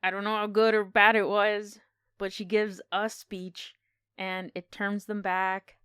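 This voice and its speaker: A woman's voice: medium pitch, little pitch movement, a little slow, fairly bright tone, clear, resonant tone, no room sound, studio quality. A woman co-hosting a podcast, chatting casually about films and retelling things with enthusiastic expression. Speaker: podcast co-host. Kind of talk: casual film discussion.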